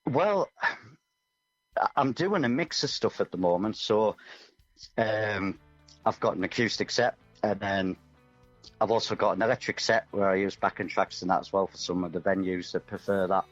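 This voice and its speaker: Low-voiced man, muffled sound. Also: normal speed. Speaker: guest musician. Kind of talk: casual radio interview chat. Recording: dull, muffled audio over music.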